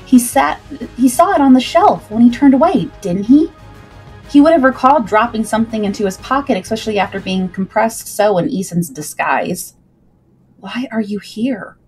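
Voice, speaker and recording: A young woman reading a scripted story aloud in a dramatic, expressive way. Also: fairly high pitch, moderate pace, slightly rough, poor audio. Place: indoors at home, on webcam. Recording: music behind the voice.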